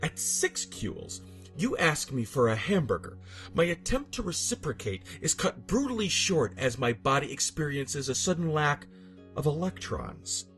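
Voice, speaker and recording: A man giving a solemn, theatrical and deliberate scripted narration of a strange horror story. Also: fairly high pitch, big pitch swings, fairly bright tone. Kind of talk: dramatic scripted creepypasta narration. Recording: music bed behind the voice. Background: background music.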